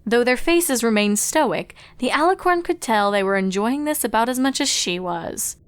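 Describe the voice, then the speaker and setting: A woman with a fairly high-pitched voice narrates a piece of fiction. She reads from a script as a storyteller, setting scenes between lines of dialogue. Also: lively intonation, normal speed, smooth, dry recording.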